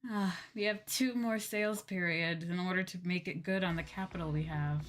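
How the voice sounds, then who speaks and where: A woman hosting a casual game livestream, chatting playfully with drawn-out exclamations and mock scolding. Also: lowish voice, fairly even intonation. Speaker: livestream host, VTuber. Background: video game music.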